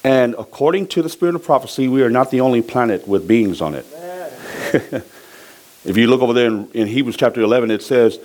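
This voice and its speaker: Samoan pastor preaching with call-and-response. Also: male voice, mid-range voice, fairly quick. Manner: lively preaching, asks the congregation to fill in words. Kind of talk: sermon.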